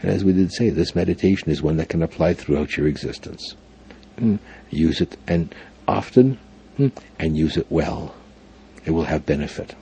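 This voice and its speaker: A man leading a guided meditation for a group, speaking in formal, deliberate phrases punctuated by frequent hums. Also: extremely low pitch, warm tone, breathy edge. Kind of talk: guided meditation instruction before a live group.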